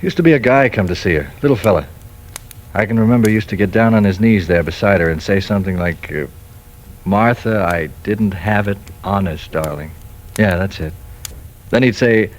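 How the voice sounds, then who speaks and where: An actor playing a folksy, matter-of-fact asylum attendant in a 1940s radio drama, speaking in clipped colloquial phrases. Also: male voice, fairly low pitch, a little hiss or hum, close to an everyday voice. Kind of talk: 1940s radio suspense acting.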